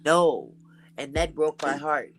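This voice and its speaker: A woman with a deep, raspy voice talking in a relaxed, chatty way as a guest in a casual conversation. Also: slow pace. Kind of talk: casual chat.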